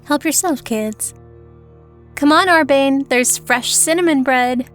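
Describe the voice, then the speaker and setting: A woman playing a gentle, doting elf mother in a fantasy audio drama. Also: sits high, takes it slowly, faint music behind. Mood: tender.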